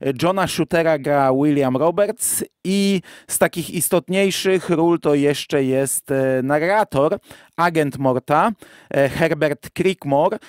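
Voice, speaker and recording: Higher-voiced male podcast host. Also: takes it slowly, clear, resonant tone, no echo, clean studio sound. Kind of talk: casual podcast talk.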